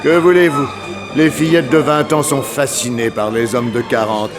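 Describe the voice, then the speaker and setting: An actor playing an elderly English lord, a courtly, wry and teasing old charmer in a 1960s French radio play. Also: male voice, medium pitch, fairly even intonation, moderate pace, lightly acted. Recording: old radio drama with dance music and crowd.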